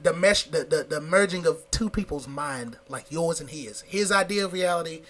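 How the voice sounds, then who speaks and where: A man in casual conversation, animated, stumbling over restarts as he explains. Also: fairly high pitch. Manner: animated, halting restarts, laughs often. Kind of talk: casual chat.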